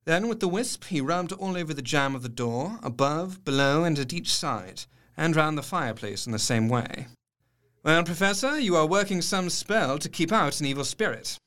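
An actor playing an earnest, educated Victorian doctor, narrating his diary in a formal, measured way for an audio drama. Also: a little high, animated, a bit bright. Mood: puzzled, wry.

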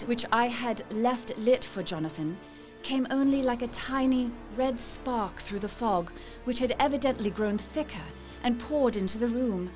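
A young Victorian wife, narrates her diary in a high, wistful voice. She is anxious and melancholy, measured yet emotive. Faint music plays behind this audio drama monologue.

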